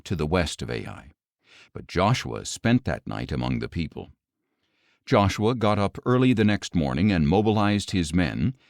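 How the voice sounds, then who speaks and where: A man narrating scripture from a script in a measured, solemn manner. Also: deep voice, a little mellow, no room sound, studio quality. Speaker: audiobook Bible narrator.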